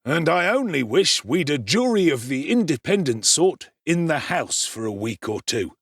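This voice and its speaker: A pompous, hot-tempered beadle blusters with contempt, his pitch swinging widely, as a man performs him. His tone is husky and close-miked in a dry dramatic audiobook. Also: very animated, normal speed, a bit bright, no echo, exaggerated voice. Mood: blustering. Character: pompous middle-aged male parish beadle, quick-tempered.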